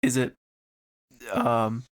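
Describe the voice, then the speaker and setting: A man's voice: mid-range voice, very animated, drawn-out words. A man co-hosting a podcast, chatting informally with short questions and joking banter. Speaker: podcast co-host.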